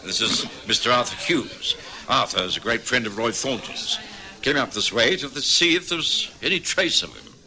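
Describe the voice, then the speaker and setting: An actor playing an earnest, good-natured doctor in an old radio drama, brisk and friendly. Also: male voice, medium pitch, lively intonation, sharp tone. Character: loyal, good-natured doctor companion and narrator. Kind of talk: 1960s radio drama acting and narration.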